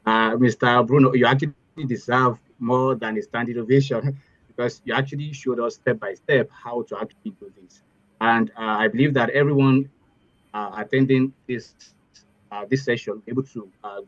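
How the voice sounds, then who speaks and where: A man's voice: mid-range voice, fairly even intonation, moderate pace, no echo. A man joining an online session as the next presenter, appreciative and hesitant with many fillers. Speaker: guest presenter. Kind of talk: online webinar talk. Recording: dry muffled call audio.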